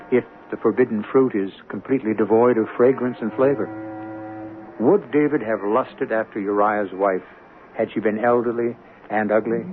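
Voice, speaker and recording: A man hosting a radio drama with theatrical scripted narration, musing in deliberate rhetorical questions. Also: mid-range voice, slight background noise. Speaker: host and narrator. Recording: narrow-band old radio broadcast. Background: music.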